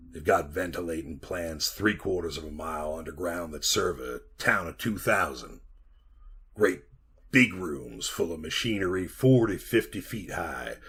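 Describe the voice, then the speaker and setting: An actor playing a weathered, folksy Montana copper miner who rambles to a listener in a retro radio drama monologue. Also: male voice, low voice, a bit bright. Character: weathered bearded Montana copper miner, 34, haunted yarn-spinner.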